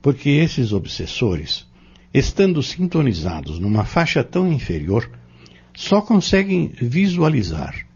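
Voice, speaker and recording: An actor playing a patient spiritist mentor in an audio drama, with a Brazilian accent and a formal, measured, preacherly delivery. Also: male voice, medium pitch, gravelly, darkish tone, husky, heavily acted. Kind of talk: audio drama acting, didactic monologue. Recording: dull lo-fi audio.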